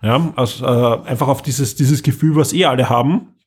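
Man, Austrian accent, husky mid-pitched voice, enthusiastic podcast host, casual chat, repeats words for emphasis. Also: moderate pace, darkish tone.

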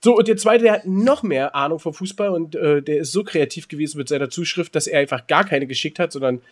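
A man with a slightly high voice chats casually as a podcast co-host. He teases as he goes and rambles through his stories, often repeating words. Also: fast talker. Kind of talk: casual banter and storytelling.

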